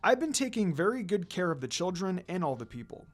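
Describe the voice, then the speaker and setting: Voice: mid-range voice, big pitch swings, a little fast. A reader voicing a polite, shady village doctor. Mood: polite, eager. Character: polite male village doctor, mild-mannered but secretly shady.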